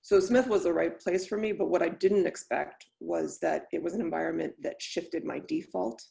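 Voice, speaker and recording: Guest from Venezuela: woman with a gravelly voice, lively, laughs easily. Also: on the low side, moderate pace, rough recording. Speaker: guest, lighting designer. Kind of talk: conversational interview.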